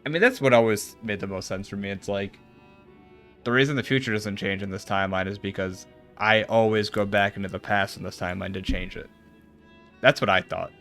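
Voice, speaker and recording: A man with a fairly low voice streams a video game playthrough. He reads the dialogue aloud and slips into casual, joking commentary. Faint game music plays behind him. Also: fairly even intonation, a little fast, fairly bright tone.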